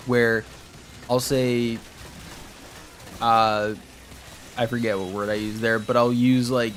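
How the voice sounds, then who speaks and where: A man's voice: medium pitch, level tone, slow pace, fairly bright tone, slight background noise. A young man streaming a game, chatting casually with his viewers in a rambling way while he plays. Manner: rambling, talks to chat while playing.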